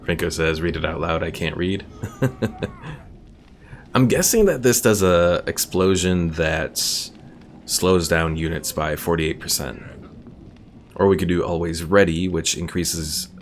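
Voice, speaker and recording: A man's voice: low-pitched, slow, pauses often, a little hiss or hum. A man giving casual game commentary as a streamer, thinking aloud and reading game text out. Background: game music.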